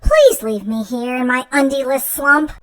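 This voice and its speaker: A woman voicing a fussy, melodramatic young monster child in a theatrical children's picture book read-aloud, with exaggerated, drawn-out words. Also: high voice, sing-song, voice pushed up. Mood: picky exasperation.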